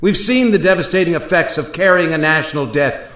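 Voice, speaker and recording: A man's voice: on the high side, brisk, fairly bright tone, close to an everyday voice. A man acting an indignant Thomas Jefferson in a radio play, speaking in an emphatic, formal, oratorical way. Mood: aghast, lecturing. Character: indignant Thomas Jefferson, aghast at modern America. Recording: roomy, echoey voice recording.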